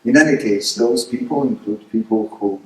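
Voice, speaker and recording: A middle-aged man whose first language is Polish, giving a lecture presentation, hesitant with many filler pauses but rising with emphasis on key points. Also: mid-range voice, darkish tone. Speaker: guest speaker. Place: lecture room. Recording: echoey hall, distant mic, faint noise.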